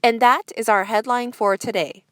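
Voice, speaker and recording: A woman's voice: on the high side, fairly even intonation, moderate pace, a bit bright, no room sound, clean studio sound. A woman news presenter reading a scripted news bulletin.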